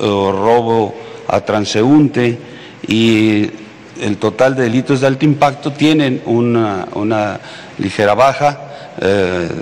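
Deep-ish mexican guy doing an official briefing, hesitates a lot, roomy hall w/ noise. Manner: halting, frequent hesitations. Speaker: military official giving a security briefing. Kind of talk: formal briefing with slides. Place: large indoor hall at a press conference. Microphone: podium microphone. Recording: echoey hall with background noise.

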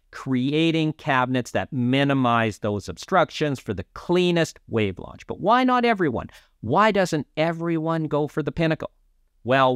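A man in his fifties presenting an explainer to camera, speaking in deliberate, emphatic phrases. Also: mid-range voice, no echo, studio quality. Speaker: presenter of an audio explainer series. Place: small indoor studio.

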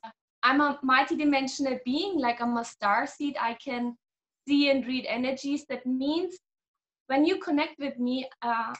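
A high-pitched woman in her thirties, originally from Germany, speaking on a video call from a small home room. She talks animatedly as a guest explaining her healing work, often breaking into laughs. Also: moderate pace, fairly bright tone, lo-fi sound. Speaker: guest, energy healer. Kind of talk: casual video-call interview.

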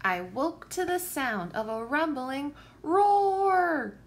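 Female storyteller for young kids: high, slow and very expressive picture-book read-aloud. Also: loud music behind the voice. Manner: animated, deliberate phrasing for young children.

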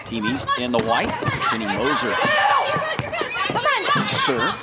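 A man's voice: medium pitch, big pitch swings, takes it slowly, a loud crowd, very noisy. A man calling a volleyball broadcast in a polished, upbeat announcer manner, speaking into a handheld mic courtside in an indoor arena. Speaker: play-by-play announcer. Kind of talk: sports broadcast commentary. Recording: arena broadcast with music bed. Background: music.

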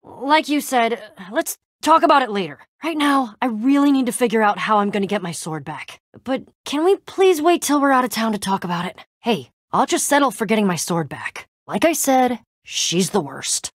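A woman's voice: on the high side, big pitch swings, normal speed, hardly any pauses, slightly rough, no room sound, studio quality. An actress playing a tough, guarded young woman adventurer in a video game, speaking casually with wry exasperation and hesitant trailing-off. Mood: resigned, determined.

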